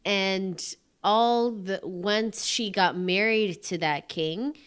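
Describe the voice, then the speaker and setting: A woman co-hosting a podcast in casual chat, slow and tentative, trailing off into vague words. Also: mid-range voice, clear, resonant tone. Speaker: podcast co-host.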